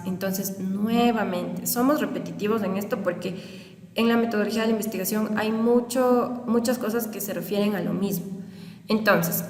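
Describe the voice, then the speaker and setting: Young woman teaching a class, sounds far from the mic, roomy echo. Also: medium pitch. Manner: explanatory, repetitive, checks understanding with rhetorical questions. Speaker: university lecturer. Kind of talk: recorded online lecture over slides. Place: small room indoors. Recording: roomy, reverberant, distant webcam audio.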